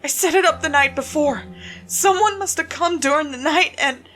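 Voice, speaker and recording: A woman's voice: sits high, moderate pace, a bit bright, faint music behind, pitched above the performer's own voice, low-quality recording. A woman voicing a shaken, panicked suspect in a horror story, stammering and tremulous as they plead with police. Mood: panicked, distraught. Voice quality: tremulous, breathy gasps. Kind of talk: dramatic horror story reading.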